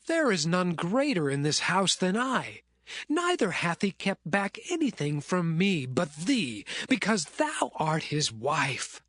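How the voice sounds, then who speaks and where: A man's voice: high voice, sing-song, takes it slowly, a bit bright, dry recording, clean studio sound, exaggerated voice. A man playing a righteous, devout young Hebrew servant in a dramatised scripture reading, earnest and formal. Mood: earnest refusal.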